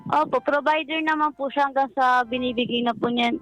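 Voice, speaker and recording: A woman's voice: sits high, fairly even intonation, a little fast, fairly bright tone, poor audio. A woman calling in to a radio advice show, telling her personal story in a polite, deferential way. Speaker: caller seeking relationship advice. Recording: music playing behind the voice. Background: background music.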